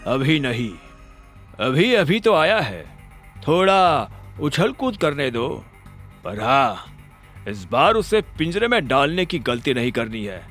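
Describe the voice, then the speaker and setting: Man acting as villain boss, smug, dismissive, unhurried, burly, audio drama, faint music. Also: medium pitch, big pitch swings, fairly bright tone, full character voice. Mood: smug, plotting.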